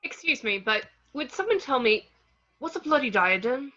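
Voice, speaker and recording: A woman's voice: sits high, big pitch swings, moderate pace, sharp tone, dull audio, full character voice. A woman playing a blunt, grumbling, exasperated teenage wizard boy in a screenplay table read.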